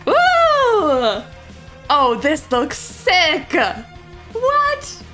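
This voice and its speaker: A woman's voice: very high-pitched, huge pitch swings, drawn-out words, pushed and bright, faint music behind. A woman giving chatty, casual asides while voicing a game playthrough. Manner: chatty, self-interrupting asides. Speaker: fan voice actor and narrator. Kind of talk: casual game voice-over commentary.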